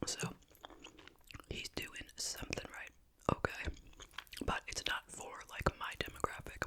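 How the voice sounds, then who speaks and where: ASMR host, breathy whisper, no voicing, casual conversational chit chat, hedging asides. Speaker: ASMR video creator and host.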